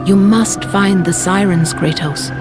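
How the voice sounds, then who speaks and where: A woman's voice: medium pitch, unhurried, music in the background, natural voice. An actress playing a solemn goddess who gives a warrior his orders in a video game cutscene. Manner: solemn, instructive.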